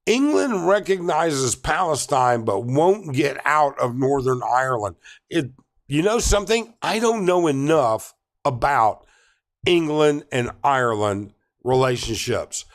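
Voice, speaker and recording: A man's voice: medium pitch, expressive, a little slow. A middle-aged man hosting a casual live stream, reading chat comments aloud and answering with many ums and restarts. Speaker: livestream host.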